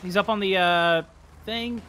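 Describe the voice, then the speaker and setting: A man's voice: sits high, a little slow, sharp tone, smooth. A man in casual multiplayer gaming chat, giving his group directions over game vehicle noise. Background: game vehicle sounds.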